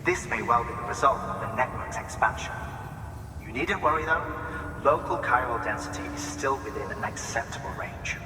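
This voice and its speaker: A man's voice: medium pitch, expressive, normal speed, reverberant, in a large hall, distant mic, noisy background, lightly acted. A man playing an encouraging, easygoing facility staffer in a video game, with a familiar, approving manner. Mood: informative. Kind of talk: scripted video game dialogue.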